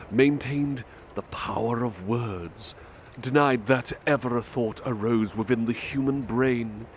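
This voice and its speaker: A man in an ASMR roleplay as a butler, reading aloud softly and unhurriedly, calling the listener beloved. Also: medium pitch, a little hiss or hum.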